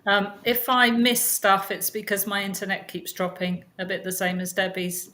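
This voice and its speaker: Mid-pitched woman, casual co-host. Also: little pitch movement, moderate pace, pushed and bright. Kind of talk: casual conversation. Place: online call.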